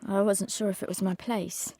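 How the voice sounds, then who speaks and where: A woman's voice: mid-range voice, little pitch movement, normal speed, mellow, slight background noise, lightly acted. An actress playing a timid, worried, polite woman living in an eighteenth-century institution, in a period audio drama. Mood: timid hope. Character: anxious, deferential eighteenth-century institution resident.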